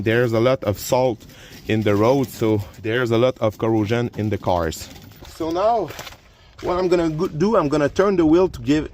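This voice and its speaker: French-native man talking through a car repair casually, mid-pitched voice, faint noise behind. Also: a little mellow. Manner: plain explanations, frequent fillers and restarts. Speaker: DIY car repair youtuber. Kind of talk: casual how-to narration while working. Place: garage or driveway by a car.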